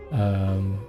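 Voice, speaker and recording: A man's voice: sits low, mellow. A man from Montreal chatting loosely with viewers on a live stream, rambling and reading out chat. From Montreal, Canada. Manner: rambling, scattered, reads chat aloud.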